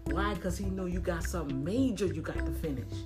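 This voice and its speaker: A hoarse middle-aged man talking casually from his car, preaching encouragement and repeating phrases. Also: high-pitched, fairly quick, over music. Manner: lively, preachy, repeats phrases, laughs a lot. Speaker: vlogger and small business owner.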